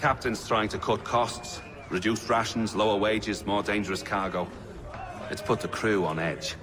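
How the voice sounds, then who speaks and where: A man's voice: on the low side, steady tone, moderate pace, music in the background, lightly acted. A man playing an affable, level-headed sailor in a video game, talking frankly as the crew's peacemaker. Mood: concerned. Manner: frank, conversational.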